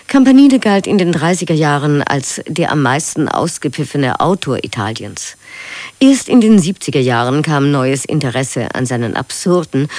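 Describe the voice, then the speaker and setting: Radio announcer reading an intro, mid voice, lots of pitch movement. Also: no room sound. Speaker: radio presenter.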